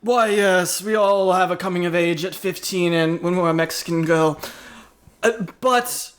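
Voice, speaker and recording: A man playing a pretentious male sommelier in an improvised comedy sketch, speaking in a pompous, halting way. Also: high voice.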